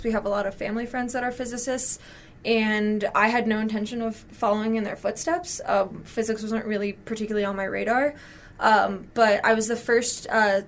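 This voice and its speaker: A young woman in her twenties answering interview questions, telling her story fluently and relaxed. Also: mid-range voice, slight background noise. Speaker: graduate student guest.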